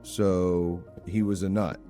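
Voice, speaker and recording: A man's voice: sits low, level tone, slow, mellow, soft background music. Man acting grumbling, sardonic skeptic. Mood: skeptical. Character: skeptical, grumbling, reluctant man trapped in a basement. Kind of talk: scripted audio drama acting.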